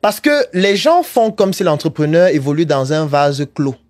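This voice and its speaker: A fairly high-voiced man holds forth on a podcast, pressing his points with one rhetorical question after another. His voice sounds dry and close, with little room around it. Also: very animated, normal speed, a little mellow, no echo. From Africa. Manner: emphatic, lecturing, rhetorical questions. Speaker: podcast guest, entrepreneurship coach. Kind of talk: animated podcast debate. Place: studio podcast set.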